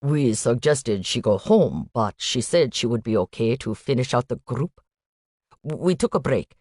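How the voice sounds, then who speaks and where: A polite, soft-spoken young man with a questioning lilt, his voice dropped low, as a woman reads him. Dry, close audiobook recording. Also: animated, a little slow, husky, dry recording, full character voice. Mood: concerned.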